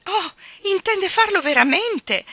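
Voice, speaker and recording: A woman's voice: mid-range voice, huge pitch swings, takes it slowly, creaky, bright, forceful tone, breathy edge, no room sound, full character voice. A woman reader voicing a fussy, haughty, wailing lady who dotes on her dog in a children's storybook. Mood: distraught pleading. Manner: wailing, indignant, theatrical. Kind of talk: children's storybook character acting.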